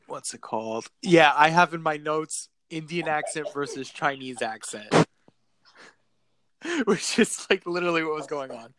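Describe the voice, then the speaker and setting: A woman's voice: deep voice, expressive, unhurried, a bit bright, a little hiss or hum. A woman casually recapping a movie on a podcast, laughing often and making sarcastic asides. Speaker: podcast co-host.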